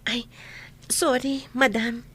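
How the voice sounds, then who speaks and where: A woman's voice: fairly high pitch, steady tone, slow, a bit bright, husky, dry recording, exaggerated voice. A Filipino woman playing a polite, naive young housemaid in a radio comedy drama. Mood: meek, polite. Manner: meek, respectful.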